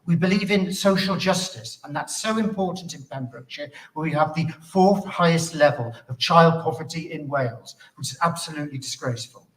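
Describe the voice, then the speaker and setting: A man giving a measured, formal closing speech as a political candidate at a hustings. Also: on the high side, fairly bright tone. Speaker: political candidate, local councillor. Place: hustings venue, indoors. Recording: echoey, distant from microphone.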